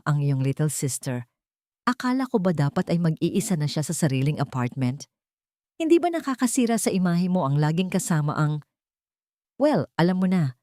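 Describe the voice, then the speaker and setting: A woman's voice: on the low side, dark tone, no room sound. Woman playing snobbish wealthy fiancée, cold, impatient, Filipino accent, dramatic storybook, dry sound. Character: cold, haughty rich fiancée, impatient and disdainful. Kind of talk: dramatic audio storybook reading.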